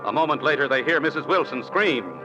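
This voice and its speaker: A man announcing and narrating a 1940s radio western in a formal, measured way. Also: mid-range voice, expressive, muffled recording. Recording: old radio recording over loud music. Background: music.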